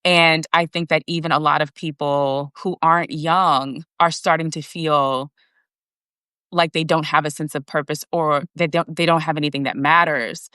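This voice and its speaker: A woman's voice: sits low, fairly even intonation, normal speed, bright, forceful tone, clean tone, dry recording, clean studio sound. A woman guest and author talking earnestly in a casual live video conversation, explaining her ideas steadily. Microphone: video call.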